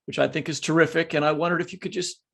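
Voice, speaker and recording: A man with a fairly high, noticeably creaky voice co-hosts a podcast. He interviews his guest conversationally, with informal questions and asides. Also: fairly even intonation, fast talker, a bit bright. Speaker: podcast co-host.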